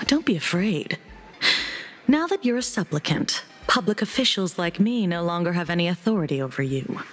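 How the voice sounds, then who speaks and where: An actress voicing a shrewd public official in a video game, formal, measured and coolly teasing. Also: female voice, lowish voice, big pitch swings, long reverb tail, soft background music. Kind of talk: video game story dialogue.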